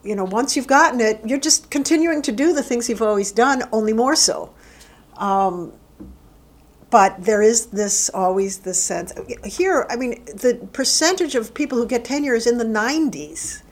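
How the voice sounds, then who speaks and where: A middle-aged woman who works as a university administrator, answering interview questions in a thoughtful, halting way with frequent restarts. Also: on the high side. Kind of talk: oral history interview.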